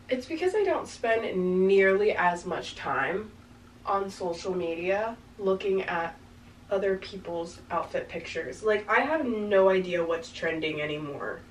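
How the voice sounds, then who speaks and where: Casting note: twenties woman, rambling fashion-vlog chatter, slight congestion rasp, recorded by a camera mic a few steps back with faint hiss. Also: mid-range voice, recorded from a distance, low-quality recording. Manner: chatty, rambling, upbeat, frequent "like" fillers. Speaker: fashion vlogger. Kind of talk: casual try-on chat. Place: small bedroom with backdrop, indoors. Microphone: camera mic from a few meters away.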